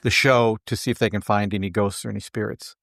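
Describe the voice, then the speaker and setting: A man from Minnesota, an author guest, chatting in a relaxed interview and chuckling through his stories. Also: lowish voice, quick pace, vocal fry, no echo. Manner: relaxed, anecdotal, chuckles while telling stories. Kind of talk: casual interview chat.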